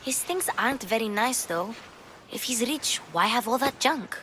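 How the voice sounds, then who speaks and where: A woman's voice: on the high side, lively intonation, normal speed, pushed and bright, slight background noise. An actress playing an eager, curious young girl thief in a game cutscene. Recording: game cutscene with faint music. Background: music.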